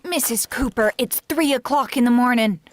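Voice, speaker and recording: A woman's voice: fairly high pitch, normal speed, no room sound, lightly acted. A woman playing a concerned, helpful housemaid in 1940s radio comedy style, solicitous and questioning.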